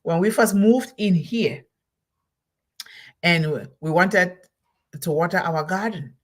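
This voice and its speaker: A woman's voice: lowish voice, slow pace. A middle-aged woman from Cameroon, hosting a solo motivational talk, emphatic and repeating her key words. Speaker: host of a motivational talk series.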